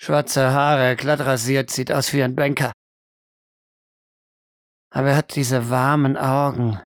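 Slow, deliberate male game master. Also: mid-range voice, little pitch movement, no room sound, clean studio sound. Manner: hushed, deliberate, short phrases. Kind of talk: atmospheric second-person roleplay narration.

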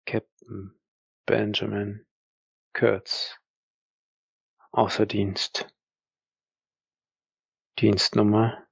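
A man playing a confused, defiant captive army captain in an audio roleplay, halting, guarded and distrustful. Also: lowish voice, level tone, vocal fry, husky, no echo, muffled recording, voice pushed down, heavily acted.

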